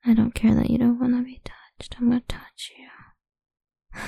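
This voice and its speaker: A domineering, cruel woman taunts in a hushed, crude whisper, as a woman puts her on. Her voice is dark and full of gravelly fry. It moves slowly with little rise and fall, and it sits dry and close with no room around it. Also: on the high side, monotone, dry recording, heavily acted. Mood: menacing. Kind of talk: whispered audio roleplay.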